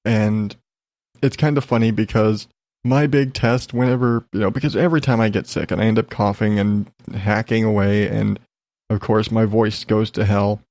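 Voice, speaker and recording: Low, gravelly man rambling casually, slightly congested. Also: fairly even intonation, normal speed, a little mellow, no echo. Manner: rambling, self-interrupting, frank and sweary. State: slightly congested, getting over an illness. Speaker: podcast host. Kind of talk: casual solo chat, rambling personal update.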